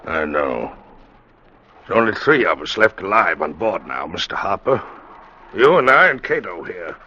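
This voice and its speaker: An actor playing a brooding, half-mad sea captain in an old radio drama, speaking in an ominous, theatrical way. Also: male voice, deep voice, sing-song, moderate pace, sharp tone, full character voice. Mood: grim. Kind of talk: 1940s-50s radio drama acting. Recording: muffled, noisy old radio drama recording.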